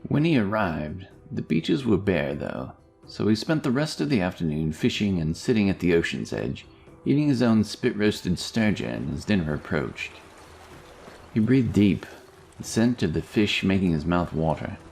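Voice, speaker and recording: A man's voice: low voice, big pitch swings, moderate pace, vocal fry, a little mellow. A man narrating a scripted fantasy story as a storyteller. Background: music.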